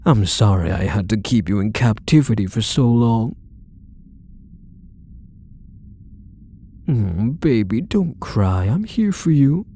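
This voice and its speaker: A man's voice: fairly low pitch, animated, measured pace, mellow, breathy edge, exaggerated voice. A man playing a possessive, doting kidnapper husband in an intimate ASMR roleplay, cooing and coaxing with pet names. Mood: tender, cooing. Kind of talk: ASMR roleplay audio drama, intimate monologue to the listener.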